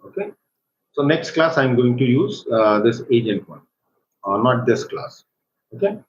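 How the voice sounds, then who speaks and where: A man with an Indian accent gives an informal live software tutorial as an online instructor, speaking in a halting, hesitant way. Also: mid-range voice, sing-song. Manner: halting, hesitant delivery with repeated check words. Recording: roomy echo, dull lo-fi microphone, faint noise.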